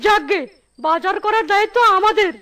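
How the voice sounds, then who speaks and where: Casting: woman for cheeky younger fox brother, cartoon, extremely high-pitched, eager and folksy. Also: a little fast, pushed and bright. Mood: cheerful teasing. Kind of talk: animated children's cartoon dialogue.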